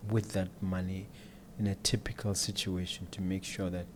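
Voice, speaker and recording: Halting male podcast host asking questions. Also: low-pitched, little pitch movement, noisy background. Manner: halting questions with restarts, frequent murmured agreement. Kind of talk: casual interview.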